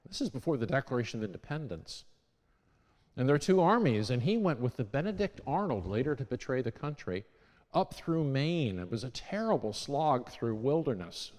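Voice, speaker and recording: A man's voice: medium pitch, sing-song, normal speed, a little mellow. Man telling church history in a reverberant sanctuary. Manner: conversational, with restarts and self-corrections. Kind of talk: informal historical talk to a congregation.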